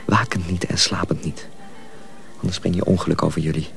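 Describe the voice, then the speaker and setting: Radio drama: a sorrowful, secretive senior mill hand, very low creaky voice, hushed and deliberate, faint music behind. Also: male voice, steady tone, exaggerated voice. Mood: sorrowful, warning. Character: grave older journeyman mill hand, protective, sorrowful, secretive. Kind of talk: Dutch radio drama acting.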